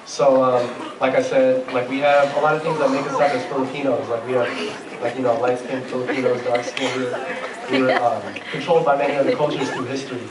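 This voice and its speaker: A young man hosting a student panel interview, talking haltingly with lots of fillers. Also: mid-range voice, flat delivery. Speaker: student event host. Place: large hall with a live audience. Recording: echoey hall, far mic, crowd noise. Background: crowd chatter.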